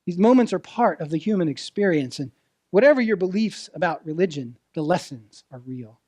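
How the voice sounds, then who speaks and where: A man with a high-pitched voice preaches in a close, dry sound. His delivery is earnest and deliberate, shaped as a sermon with rhetorical questions and dramatic phrasing. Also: animated, a little mellow, dry recording. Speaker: preacher, ministerial intern. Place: church service, speaking to a congregation.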